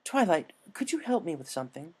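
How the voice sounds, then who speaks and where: A man's voice: a little high, very animated, a little fast, slight background noise, voice pushed up. A man voicing a refined, eager female unicorn pony with a polite, earnest manner in a story reading.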